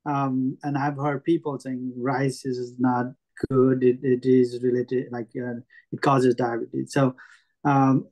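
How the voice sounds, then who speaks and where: A man with a Nepali accent, from Nepal, putting a question to the speakers in a mid-range voice. He hesitates often and fills pauses as he works his way toward the question. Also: medium pitch, level tone, a little mellow, rough recording. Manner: hesitant, with many fillers. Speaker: audience member asking a question. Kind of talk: spontaneous question.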